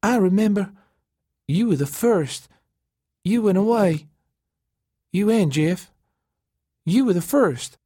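A man's voice: high voice, lively intonation, slow, long pauses, warm tone, no echo, clean studio sound, pitched above the performer's own voice, full character voice. A man voicing a faded, needy, tearful woman with a thin, plaintive voice in an audiobook story. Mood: dazed.

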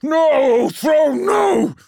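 A man's voice: on the high side, sing-song, normal speed, gravelly, fairly bright tone, no room sound, clean studio sound, heavily acted. A man voicing a towering, wrathful demigod warlord with a fracturing mind, in imperious and anguished declamation for an audiobook. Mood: imperious fury.

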